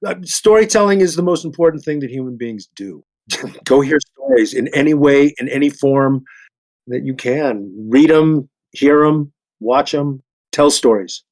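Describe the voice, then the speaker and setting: An older man talks in a casual panel discussion with a mid-range voice. He is earnest and halting, often stammering over a word before going on. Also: medium pitch, a little mellow, poor audio. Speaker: actor guest.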